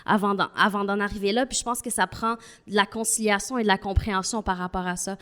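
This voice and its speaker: A woman's voice: medium pitch, fairly even intonation, fast, a bit bright. Earnest woman. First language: not French. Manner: earnest, thoughtful, argues step by step. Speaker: panel guest. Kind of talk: panel discussion.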